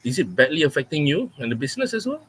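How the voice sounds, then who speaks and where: A man from Malaysia hosting a live interview, nervous, talking quickly and laughing often. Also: on the high side, big pitch swings, husky. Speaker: host of a vaping channel live stream. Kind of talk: casual live-stream interview.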